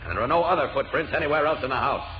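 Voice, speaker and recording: An American actor playing a steady, firm, commanding superhero in 1940s radio drama style. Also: male voice, on the high side, rapid-fire, slight background noise, lightly acted. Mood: firm, puzzled. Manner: firm, matter-of-fact. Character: steady, commanding male superhero, reassuring and decisive. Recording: muffled old radio recording.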